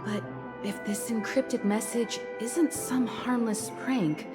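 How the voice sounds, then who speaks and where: An actress playing a calm, mature mentor in a sci-fi game, measured, serious and thoughtful. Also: female voice, on the high side. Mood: calming, resolute. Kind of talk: dramatic video game dialogue. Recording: music behind. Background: game music.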